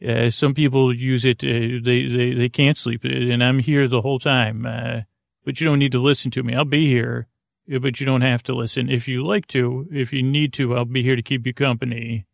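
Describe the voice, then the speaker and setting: Male sleep-podcast host. Meandering, stop-start delivery with plenty of vocal fry, very dry sound. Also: medium pitch, little pitch movement, no echo. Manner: meandering, halting, repetitive. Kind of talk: rambling sleep podcast monologue.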